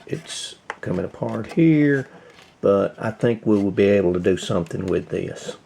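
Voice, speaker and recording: A man giving a casual hands-on demonstration as a video host, thinking aloud in short, halting phrases. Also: fairly low pitch, lively intonation, creaky, dark tone, a little hiss or hum. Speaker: host of a radio repair video.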